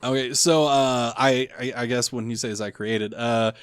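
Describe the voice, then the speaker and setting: Deadpan dry-voiced male co-host. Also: medium pitch, moderate pace, smooth, dry recording. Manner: dry deadpan quips, hesitant fillers. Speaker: podcast co-host, movie reviewer. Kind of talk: casual podcast chat.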